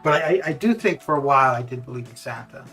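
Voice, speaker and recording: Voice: mid-range voice, animated, fast, a bit bright. Casual streamer chatting over music. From Canada, born in the Caribbean. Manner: chuckles often at own remarks. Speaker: live game streamer. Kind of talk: casual chat while streaming. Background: music with guitar.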